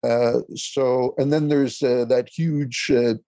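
A man from Eastern Ontario whose first language is French, answering interview questions as a consultant in a halting way, with many fillers and restarts. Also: mid-range voice, fairly even intonation, warm tone, no room sound. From Eastern Ontario, Canada. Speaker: guest, consultant.

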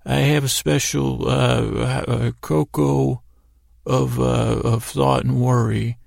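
Very creaky, rambling male bedtime podcaster. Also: medium pitch, flat delivery, dry recording, clean studio sound. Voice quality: creaky, gravelly. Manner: meandering, halting, stammering with repeated words; whimsical, gently addressing imagined listeners. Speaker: podcast host.

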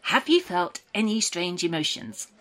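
Clinical interviewer, sci-fi radio play: reassuring and probing, swooping intonation, slightly low pitch. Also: female voice, big pitch swings, measured pace. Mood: brisk, reassuring. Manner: reassuring, procedural, brightly patronising. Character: soothing, probing woman official of a dystopian programme. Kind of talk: audio drama dialogue, clinical interview.

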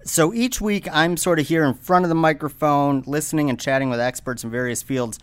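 A man with a loud, somewhat high voice hosts a casual workplace podcast. He keeps the conversation moving with an upbeat manner, turning questions over to his co-hosts. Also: brisk, clean tone. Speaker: podcast host.

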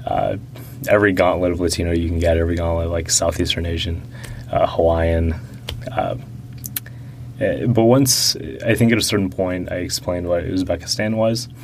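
This is a young man with a deep, low voice, answering an interviewer's questions in a relaxed, reflective way. He hesitates often, leans on fillers and restarts his sentences. A faint background hiss sits under the recording. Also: normal speed, creaky.

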